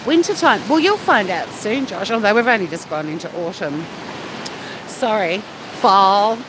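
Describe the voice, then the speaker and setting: A woman's voice: medium pitch, normal speed. A woman with an Australian accent chatting casually and cheekily as a live stream host, replying to viewers outdoors at the beach. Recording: loud steady wind or surf noise.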